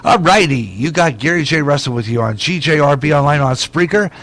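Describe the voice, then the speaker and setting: A man hosting an internet radio show in a casual, folksy way, rambling and halting at times. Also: a little high, lively intonation, vocal fry, a bit bright. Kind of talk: casual radio host talk and station promos.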